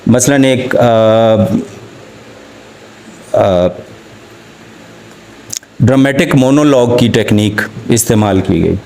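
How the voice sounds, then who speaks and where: A man with a Pakistani accent giving a formal academic literature lecture, halting and deliberate, with frequent pauses to find his words. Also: mid-range voice, creaky, warm tone, low-quality recording.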